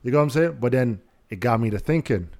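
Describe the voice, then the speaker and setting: A man's voice: medium pitch, animated, fast, darkish tone. Laid-back Trinidadian man, storytelling. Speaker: podcast co-host. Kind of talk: casual podcast banter.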